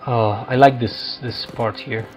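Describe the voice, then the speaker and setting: A man doing casual let's-play commentary on a roleplaying video game, musing and haltingly thinking aloud. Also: medium pitch, steady tone, dull audio. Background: video game music.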